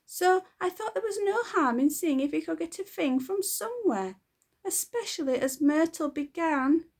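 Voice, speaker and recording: A woman voicing a doting, apologetic mother who gushes in a very high, fluttery voice. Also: lively intonation, moderate pace, a little mellow.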